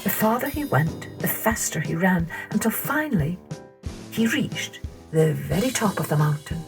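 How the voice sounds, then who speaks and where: A woman narrating a children's story in a dramatic, deliberate, expressive way. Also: lowish voice, creaky, over music.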